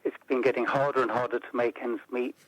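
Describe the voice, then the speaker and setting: A man as an interview guest, explaining policy in a measured way and building points into lists. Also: medium pitch, level tone, a bit bright, dry recording, poor audio. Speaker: interview guest, report author. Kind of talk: radio interview.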